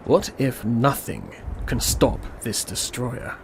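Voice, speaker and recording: Radio drama villain, male actor: unhurried apocalyptic being, condescending menace, noisy ambient recording. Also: mid-range voice, sing-song, creaky, heavily acted. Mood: musing, mocking. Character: calm, smug destroyer demon, world-ending evil being. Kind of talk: old-school radio drama acting.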